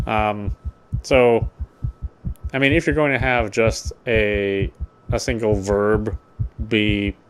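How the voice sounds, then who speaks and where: A man's voice: fairly low pitch, a little hiss or hum. A man streaming a game, reading its text aloud and commenting casually as a player.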